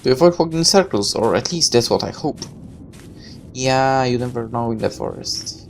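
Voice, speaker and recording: Female let's player, very low register with animated intonation, narrating a visual novel with sardonic offhand commentary. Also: moderate pace, gravelly, a little hiss or hum. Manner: irreverent, sarcastic asides. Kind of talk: game playthrough, reading text aloud with offhand commentary.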